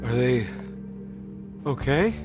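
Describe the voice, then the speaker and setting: A man playing a weary, gruff private detective in a horror game. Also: mid-range voice, lively intonation, slow pace, music in the background. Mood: confused, wry. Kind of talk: cinematic horror game acting.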